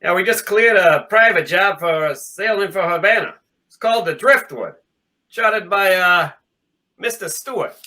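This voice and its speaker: A man plays a businesslike customs officer in a 1940s radio drama. His voice is high and sharp, with a clipped, certain delivery. Faint noise sits on the recording. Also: normal speed. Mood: brisk, businesslike. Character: matter-of-fact male customs officer, curt and sure.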